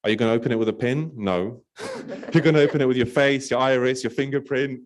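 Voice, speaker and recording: A man from Poland, a company representative, answering panel questions in a relaxed, talkative way with many fillers. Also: medium pitch, quick pace. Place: conference stage.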